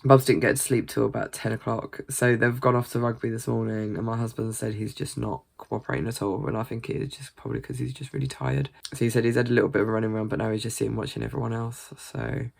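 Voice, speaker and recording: A woman's voice: very deep voice, quick pace, vocal fry, few pauses, darkish tone. A British woman chatting casually in a vlog, rambling and swearing freely, sounding a bit congested. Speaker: gaming vlogger.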